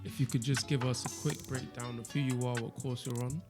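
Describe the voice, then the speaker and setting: A young male podcast host talks in a relaxed, easygoing way, keeping his questions short. His pitch is middling, with faint music under the studio talk. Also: a little fast. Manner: laid-back, brief replies. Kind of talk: casual podcast chat.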